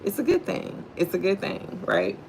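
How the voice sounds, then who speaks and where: A middle-aged woman from Houston with a Texan accent and a deep voice, talking low and slow as she reads a devotional and greets viewers on her livestream. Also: huge pitch swings, vocal fry, darkish tone, slight background noise, poor audio. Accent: Southern US, Texan. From Houston, Texas, USA. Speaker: livestream host. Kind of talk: devotional reading and casual chat with live viewers.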